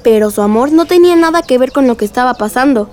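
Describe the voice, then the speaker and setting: A woman playing a curious, cheeky young girl with an expressive, inquisitive manner in a radio drama. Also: sits high, quick pace.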